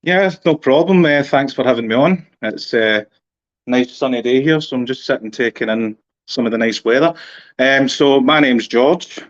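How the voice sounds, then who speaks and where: A Glaswegian man in his thirties chats in a relaxed way and laughs easily. His voice sits at an ordinary pitch. The sound is dry and dull. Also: moderate pace, no room sound. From Glasgow area, Scotland. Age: 30s. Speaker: guest. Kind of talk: casual conversation. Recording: dull, muffled call audio.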